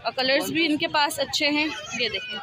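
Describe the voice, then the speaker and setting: A woman with a Pakistani Urdu accent walks through a packed outdoor market filming on her phone. Her voice sits high and bright as she chats to her viewers. A dense crowd and passing traffic surround her. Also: fairly quick. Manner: chatty, asks sellers prices. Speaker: vlogger. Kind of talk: market tour vlog. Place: busy outdoor street market. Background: crowd chatter and traffic.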